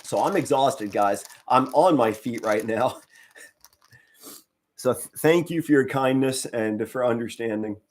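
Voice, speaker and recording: A middle-aged man from Pennsylvania commentates a game live for his stream, his voice hoarse and worn. He speaks low and restrained to spare his throat, then breaks into short excited bursts. His pitch sits in the middle of the male range. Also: a little slow. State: hoarse, straining to save his voice. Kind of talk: casual live play-by-play commentary.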